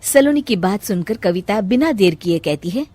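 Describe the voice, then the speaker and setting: A woman's voice: mid-range voice, animated, normal speed, darkish tone, no room sound. A woman narrating a cartoon story from a script.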